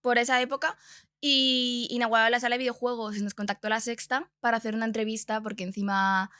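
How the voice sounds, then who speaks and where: A woman's voice: on the high side, little pitch movement, quick pace, sharp tone, no echo, clean studio sound. A woman in her twenties with a Castilian Spanish accent, chatting informally and telling personal anecdotes as a podcast guest. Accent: Spanish (Spain). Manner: informal, colloquial. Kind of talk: casual chat, personal anecdotes.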